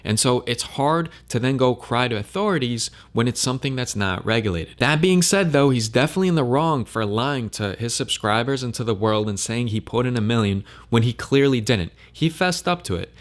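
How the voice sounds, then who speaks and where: A man's voice: medium pitch, lively intonation, fairly quick, hardly any pauses. A man giving blunt, emphatic opinion commentary on a crypto controversy.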